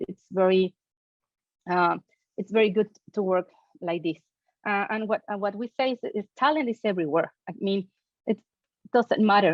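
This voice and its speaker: Female panelist, mid pitch, halting delivery; muffled dry video-call sound. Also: dry recording. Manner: hesitant, repeats words, spoken in careful English. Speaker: agency leader, panelist. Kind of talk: online panel discussion.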